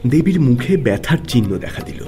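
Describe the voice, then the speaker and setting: A man narrating a classic literary audio story in a dramatic, scripted style with measured phrasing and pauses. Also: mid-range voice, sing-song, music in the background.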